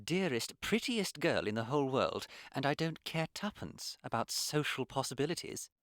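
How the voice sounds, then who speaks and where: Posh young suitor, gushing and polite, lively voice, dry studio sound, radio play. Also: male voice, medium pitch, normal speed, dry recording. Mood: ardent. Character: ardent, carefree young English gentleman, a devoted suitor. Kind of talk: radio play comedy of manners.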